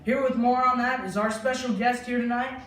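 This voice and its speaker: A man's voice: very high voice, fairly even intonation, moderate pace. A young man presenting a mock 1950s newscast as its anchor, with a hammy, folksy delivery. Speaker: news anchor. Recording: echoey room with music behind.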